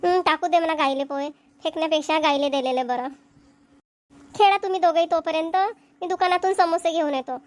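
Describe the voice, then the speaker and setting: A fretful cartoon homemaker acted by a woman with a rural Vidarbha accent. She fusses over household problems aloud in a very high, fast voice. The sound is dry. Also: no room sound. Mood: mildly scolding. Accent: Maharashtrian, rural Vidarbha dialect. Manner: fussing, talks problems through aloud. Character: fretful homemaker mother of two young children. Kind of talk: Marathi cartoon comedy dialogue and monologue.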